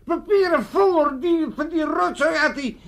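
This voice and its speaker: A man's voice: very high voice, unhurried. An older man playing a bossy, cantankerous family patriarch in a comic radio play, theatrical and blustering. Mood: agitated recounting. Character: cantankerous, bossy old Amsterdam family patriarch with grand ambitions.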